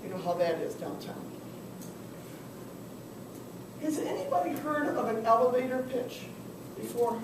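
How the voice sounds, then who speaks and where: A woman's voice: a little high, big pitch swings, fairly quick. A woman consultant teaching a business workshop, chatty and asking the class questions, while losing her voice. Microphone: far camera. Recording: echoey room from far off, noisy.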